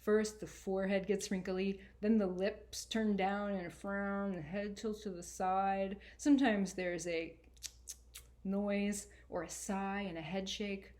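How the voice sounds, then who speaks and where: A woman voicing a sarcastic, earnest boy narrator in an expressive, colloquial first-person storybook reading. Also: medium pitch, a little slow, natural voice. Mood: wry. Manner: colloquial, exasperated, emphatic.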